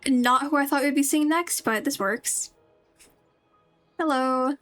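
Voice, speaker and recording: A woman's voice: sits high, takes it slowly, fairly bright tone. A woman livestreamer giving casual, rambling game commentary as she chats to her viewers. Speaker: livestreamer playing a video game. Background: game music.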